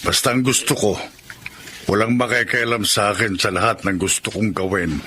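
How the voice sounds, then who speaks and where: A man playing a gruff, selfish, hot-tempered middle-aged father in a radio drama, with a curt manner. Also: lowish voice, fairly even intonation, moderate pace, sharp tone, slight background noise. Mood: stubborn. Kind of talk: Tagalog radio drama acting.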